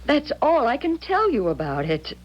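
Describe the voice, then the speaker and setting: An actress playing a troubled, frightened young woman in a radio drama, speaking in a halting, anxious way. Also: sits high, wildly swooping pitch, exaggerated voice. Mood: anxious, bewildered. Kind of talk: 1970s radio drama acting. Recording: dull vintage radio broadcast.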